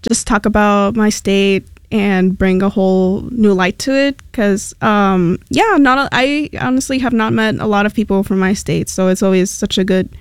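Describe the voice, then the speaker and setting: A woman's voice: mid-range voice, clean tone, dry recording. Chatty young woman, Spanish native speaker. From Mexico. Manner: chatty and rambling, many fillers. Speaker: radio station intern, guest. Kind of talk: casual radio chat. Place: radio studio.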